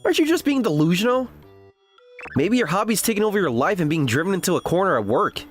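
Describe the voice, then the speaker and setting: The earnest, teasing young boyfriend of a romcom manga dub, played by a man, his animated pitch leaping about over light background music. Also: quick pace. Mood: taunting. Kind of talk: dramatized romantic comedy dialogue and inner narration.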